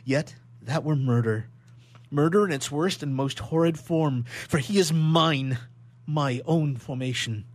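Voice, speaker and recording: An actor playing an anguished, horror-stricken young scientist in a melodramatic radio play, declaiming in a halting, overwrought way. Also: male voice, on the high side, natural voice. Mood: fearful, remorseful. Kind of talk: melodramatic 19th-century stage acting in radio theatre.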